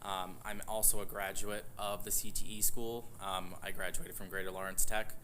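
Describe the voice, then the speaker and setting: Deep-voiced New teacher, flat and bright delivery, earnest self-intro at a board meeting. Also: male voice, moderate pace, slight background noise. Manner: steady, earnest, with filler ums. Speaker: English teacher.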